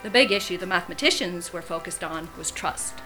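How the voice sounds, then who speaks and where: A middle-aged wife in an audio drama, arch and deliberate, needling her friend with pointed politeness. Her voice is bright and forward, with music underneath. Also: fairly low pitch, low-quality recording. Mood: hostly, pointed.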